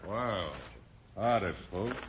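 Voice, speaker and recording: Low-voiced cocky frontier logger. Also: male voice, slow. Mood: folksy, breezy. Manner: folksy, self-assured. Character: cocky, folksy frontier logging stranger. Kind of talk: 1950s radio western acting. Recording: faintly noisy old radio recording.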